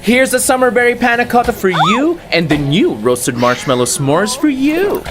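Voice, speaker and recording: A woman's voice: fairly high pitch, big pitch swings, unhurried, loud music behind the voice. A woman presenting an upbeat scripted advertisement. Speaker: commercial presenter.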